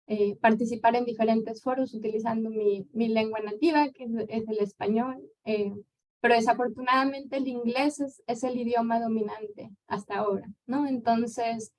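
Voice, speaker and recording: A woman in her thirties from Mexico with a Mexican accent, answering questions as a guest lecturer in a relaxed, conversational way. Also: on the high side, normal speed, rough recording. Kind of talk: conference Q&A answer.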